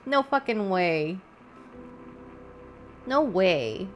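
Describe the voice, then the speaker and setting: A woman's voice: mid-range voice, animated, drawn-out words, lo-fi sound, soft background music. A woman live-streaming casual reactions as she plays a story game.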